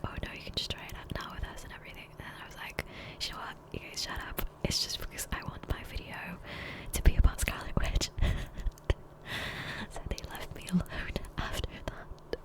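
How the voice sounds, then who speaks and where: Softly whispering woman, ASMR gamer. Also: fairly quick, low-quality recording. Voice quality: soft breathy whisper. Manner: casual, rambling, giggly. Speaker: ASMR video host. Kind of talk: whispered ASMR gameplay ramble. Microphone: close USB condenser microphone.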